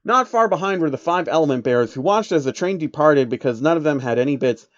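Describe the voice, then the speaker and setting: A man's voice: on the high side, lively intonation, fast talker, no room sound, muffled recording. A man reading fan fiction aloud in a casual storytelling style, with irreverent, sweary asides. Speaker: narrator of online fan fiction.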